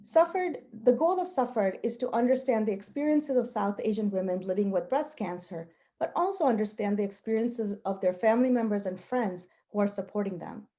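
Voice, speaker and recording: Mellow-voiced woman researcher giving a talk. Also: mid-range voice, expressive, brisk, hardly any pauses. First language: Gujarati. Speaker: health researcher presenting. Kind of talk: prepared talk with personal storytelling.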